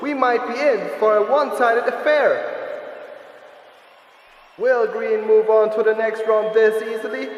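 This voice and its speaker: A man playing a dramatic, excitable commentator at an anime battle tournament, announcing in a declamatory way. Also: very high voice, unhurried, pauses often, dull audio. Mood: dramatic, hyped. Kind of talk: anime-style sports commentary, fan dub. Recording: echoey arena-like reverb, faint noise.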